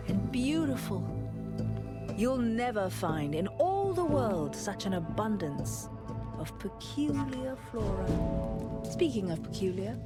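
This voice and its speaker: Female explorer-guide, fantasy game: medium pitch, stately wording, keen wonder, clear musical backing. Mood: awed. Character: eager woman explorer-scholar, awed guide to wondrous ruins. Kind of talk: video game voice acting.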